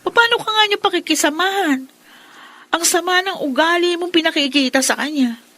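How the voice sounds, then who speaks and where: A woman's voice: extremely high pitch, normal speed, sharp tone, no room sound. A woman playing a fair-minded, outspoken young sister in a Filipino radio drama. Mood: reproachful.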